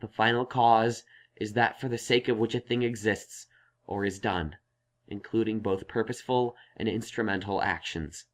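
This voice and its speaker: A man voicing a studious, earnest male pony scholar in a story, reciting in a measured way. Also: fairly low pitch, steady tone, lightly acted. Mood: studious, absorbed. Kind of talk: dramatized fan fiction audiobook.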